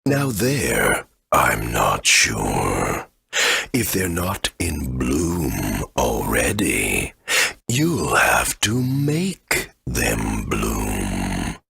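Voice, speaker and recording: A male actor playing an ancient, kindly, forgetful great tree elder in a video game, with a deep, gravelly, husky voice and a slow, halting delivery. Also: wildly swooping pitch, pushed and bright, heavily acted. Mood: pondering. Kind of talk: video game character acting.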